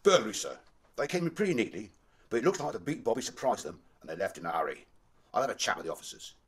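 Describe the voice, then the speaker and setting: A man's voice: on the low side, sing-song, quick pace. An actor playing a dutiful, matter-of-fact male police assistant in an audio drama.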